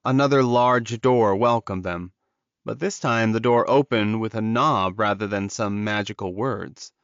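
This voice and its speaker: A man's voice: medium pitch, no echo. A man narrating a scripted fantasy story in the dramatic manner of an audiobook. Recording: dull, lo-fi audio.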